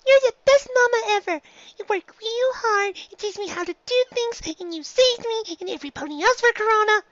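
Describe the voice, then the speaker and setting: A woman's voice: very high-pitched, normal speed, highs cut off, a little hiss or hum, voice pushed up. A woman voicing an earnest, sweet little girl pony from a story, with a childlike, emphatic way of talking. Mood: earnest, adoring.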